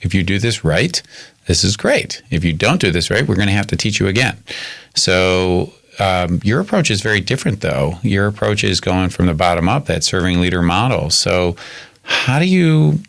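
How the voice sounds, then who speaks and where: A man's voice: low voice, very animated, normal speed, vocal fry, fairly bright tone. A man hosting a podcast interview, friendly with hesitant restarts. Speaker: podcast host. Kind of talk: conversational interview.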